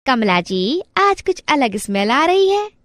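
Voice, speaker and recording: Neighbour auntie, acted by a woman, middle-aged, inquisitive, highish voice, unhurried, cartoon drama, dry sound. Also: very animated, fairly bright tone, no room sound, heavily acted. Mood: prying, surprised. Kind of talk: Hindi family moral-story cartoon acting.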